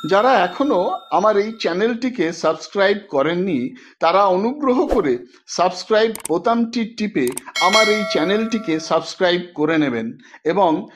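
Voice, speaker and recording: Expressive high-voiced male story narrator. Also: normal speed. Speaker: audio story narrator and channel host. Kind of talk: dramatic literary story narration. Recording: dull lo-fi track with faint noise.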